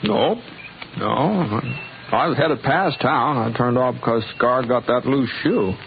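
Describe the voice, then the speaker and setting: An American actor playing a modest, easygoing drifting gunslinger with halting, folksy speech in a 1950s radio western. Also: male voice, medium pitch, gravelly, a little hiss or hum. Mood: mild, modest. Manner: hesitant, halting, folksy understatement. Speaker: lead character and narrator. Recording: muffled old radio recording under music.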